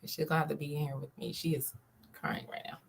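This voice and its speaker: A woman's voice: low voice, level tone, a little fast, creaky, slight background noise. A woman chatting casually as a guest in an interview. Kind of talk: casual chat.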